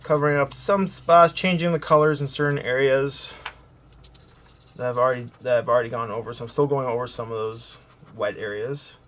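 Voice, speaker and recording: Soft-spoken man talking through his coloring. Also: mid-range voice, brisk. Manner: relaxed, thinking aloud while working, with fillers and self-corrections; soft-spoken, hoping to sound calming. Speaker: art tutorial host. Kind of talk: casual coloring tutorial narration.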